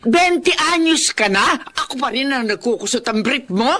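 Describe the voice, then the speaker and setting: A scolding Filipino mom in a radio drama. Her voice is sharp and bright, swinging widely in pitch with exasperation. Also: animated, normal speed. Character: scolding, exasperated middle-aged mother.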